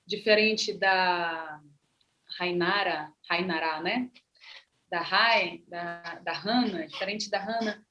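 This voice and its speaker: A woman's voice: medium pitch, rough recording. Hesitant woman from Maranhão, Brazil. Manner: unhurried, hesitant, frequent "né" tags. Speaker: artist and actress, panel speaker. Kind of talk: panel talk, personal account.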